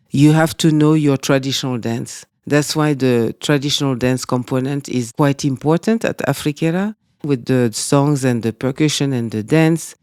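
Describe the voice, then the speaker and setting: A man with a French accent speaking as a guest in an interview, talking in a measured, reflective way. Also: on the high side, darkish tone, clean tone, dry recording, clean studio sound. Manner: measured, reflective, with frequent "you know". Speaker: guest, dance school director. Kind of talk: conversational interview.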